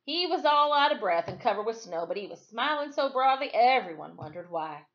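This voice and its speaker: A woman reading a story aloud expressively, with dramatic emphasis and pauses, like a teacher at storytime. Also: fairly high pitch, big pitch swings, lo-fi sound. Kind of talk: expressive read-aloud storytelling with brief asides to listeners.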